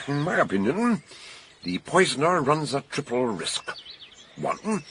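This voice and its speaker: An actor playing a blunt British detective who reasons emphatically in a radio mystery drama. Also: male voice, mid-range voice, fairly bright tone, slight background noise. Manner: emphatic, argumentative, deliberate.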